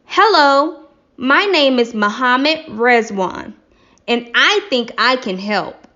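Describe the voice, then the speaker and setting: A woman reading the part of a helpful, confident male architect in a classroom play, measured and clearly enunciated. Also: on the high side, expressive, pushed and bright, exaggerated voice. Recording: slightly dull lo-fi recording.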